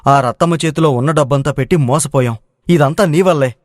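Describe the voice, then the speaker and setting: Man acting a fretful, higher-voiced younger brother. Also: a little fast, close to an everyday voice. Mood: irritated, blaming. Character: weak, debt-ridden younger brother, blaming and remorseful. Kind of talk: acted moral-story dialogue.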